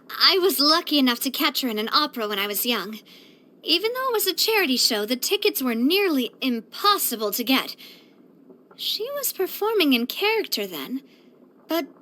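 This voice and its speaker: A woman voicing a bright, earnest, sociable young female leader in a video game, chatty and expressive. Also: high voice, full character voice. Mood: admiring. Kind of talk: video game character voice lines.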